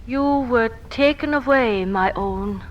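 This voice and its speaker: A woman's voice: on the high side, takes it slowly, muffled recording. An actress playing an anxious, earnest lady in a dramatic 1940s radio melodrama. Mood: tense. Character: anxious, earnest young lady of a troubled household. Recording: noisy old radio recording.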